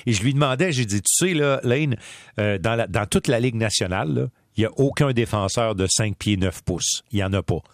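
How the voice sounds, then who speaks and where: A man's voice: lowish voice, fairly quick, dry recording, studio quality. A man with a Quebec French accent reporting on hockey prospects in a casual, storytelling way. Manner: chatty, storytelling, many fillers and restarts. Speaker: sports reporter. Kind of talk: radio sports talk.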